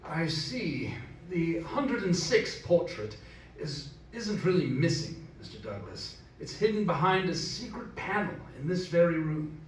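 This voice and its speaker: An actor playing a grave, formal village reverend who tells dark legends in an amateur radio drama. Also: male voice, fairly high pitch, big pitch swings, unhurried, slight background noise. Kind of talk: amateur old-time radio drama acting. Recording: echoey room, distant microphone.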